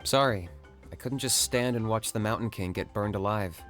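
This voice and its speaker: A man's voice: lowish voice, normal speed, a bit bright, soft background music, close to an everyday voice. A man voicing a stoic young warrior who answers in a dry, terse way. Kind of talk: game cutscene voice acting.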